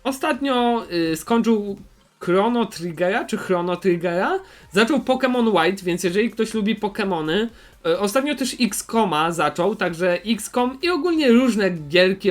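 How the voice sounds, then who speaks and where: A man's voice: sits high, animated, measured pace. A man giving casual, unscripted commentary as a YouTuber, hesitant and often repeating himself. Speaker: YouTuber host recommending channels.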